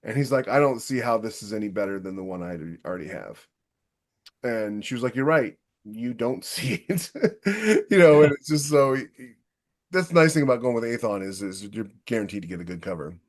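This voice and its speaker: A man's voice: on the low side, animated, fairly quick. A man hosting a casual podcast chat, giving opinionated, emphatic advice as an author.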